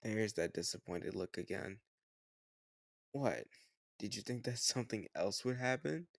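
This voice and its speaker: Low, gravelly teasing male tutor, acted by a man. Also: animated, a little slow, no echo, clean studio sound, exaggerated voice. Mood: composed, teasing. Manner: teasing, coaxing, encouraging. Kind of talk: scripted romantic audio roleplay, addressed to the listener.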